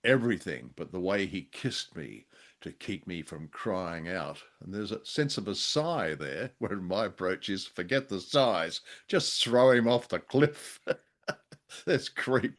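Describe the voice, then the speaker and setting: A man's voice: low voice, big pitch swings, takes it slowly. A man co-hosting a conversational discussion show, speaking hesitantly with wry humour. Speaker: podcast co-host. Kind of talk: conversational discussion of old radio drama.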